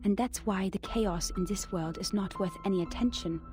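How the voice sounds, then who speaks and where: Woman doing a thoughtful lady reading her journal, slow and wistful, faint music under it. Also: mid-range voice, close to an everyday voice. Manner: measured, wistful storytelling. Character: reflective woman recalling a strange acquaintance. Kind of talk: game narration of a written record.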